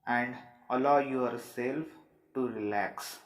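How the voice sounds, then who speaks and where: Slow-paced man guiding meditation, roomy echo. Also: medium pitch, faint music behind. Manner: unhurried, soothing delivery with long pauses. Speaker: meditation guide. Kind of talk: guided meditation, slow instructions. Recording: roomy, echoing sound.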